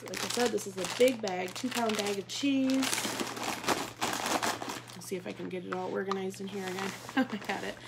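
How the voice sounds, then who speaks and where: Chatty woman with a gravelly edge. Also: mid-range voice, steady tone, normal speed. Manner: chatty, casual, frequent ums. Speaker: bag consultant demonstrating products. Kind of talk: live product demo. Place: living room at home. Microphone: phone or webcam at table distance. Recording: noisy Facebook Live stream.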